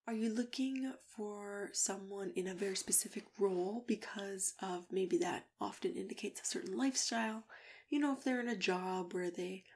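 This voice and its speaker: A woman speaking softly and unhurriedly in an ASMR matchmaker roleplay, gently drifting in and out of whispers. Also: medium pitch, creaky. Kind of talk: ASMR roleplay, soft-spoken chat with whispering. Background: computer keyboard typing and clicking.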